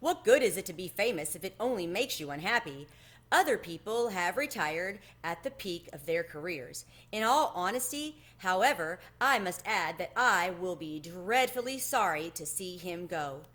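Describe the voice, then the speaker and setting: A woman voicing a sensible, considerate male cat in a storybook, with a measured, reasonable manner. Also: on the low side, animated, fairly bright tone. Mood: considered.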